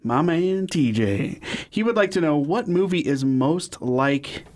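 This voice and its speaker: Relaxed man co-hosting a chat. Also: on the high side, measured pace. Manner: relaxed, steers the show and reads listener questions. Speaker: podcast co-host. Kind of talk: casual chat.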